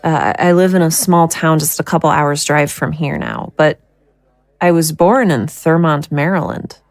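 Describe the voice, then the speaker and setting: A woman playing a guilt-ridden, worn-down young mother in an audio drama, speaking haltingly and emotionally. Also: sits low, no echo. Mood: shaken, haunted. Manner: halting, emotional confession with sighs.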